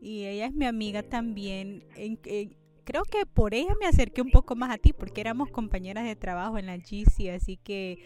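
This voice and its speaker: A woman hosting a casual conversational interview, speaking in short, hesitant phrases broken by pauses. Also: mid-range voice, expressive, a little mellow, faint music behind. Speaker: interview host.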